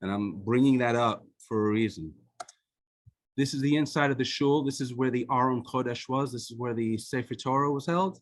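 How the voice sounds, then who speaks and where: A man's voice: mid-range voice, moderate pace. A man, an author, showing photographs on a video call and talking in a loose, conversational way with frequent fillers and restarts. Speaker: author and guest speaker.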